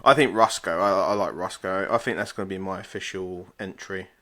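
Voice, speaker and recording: A man's voice: low voice, fairly quick, bright, forceful tone. A young man co-hosting a podcast, chatting in a laid-back, dry way and swearing casually. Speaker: podcast co-host. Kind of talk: casual banter.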